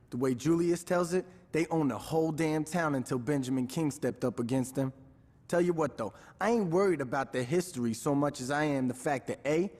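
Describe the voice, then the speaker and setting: Game gang lieutenant: highish voice, casual and wry, big reverb. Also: male voice, darkish tone. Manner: casual, sardonic. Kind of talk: video game cutscene acting. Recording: long hall reverb.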